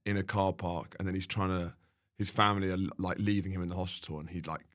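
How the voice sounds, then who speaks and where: A man's voice: low voice, level tone, quick pace, fairly bright tone. A young man, a comedian guest, telling comic anecdotes casually with exaggeration and repetition.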